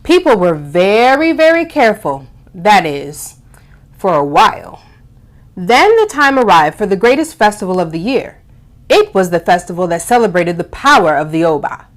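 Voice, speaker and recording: A woman's voice: medium pitch, very animated, normal speed. A woman hosting a children's storytime, explaining and reading aloud expressively, stressing and stretching key words for young listeners. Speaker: host of a children's storytime show.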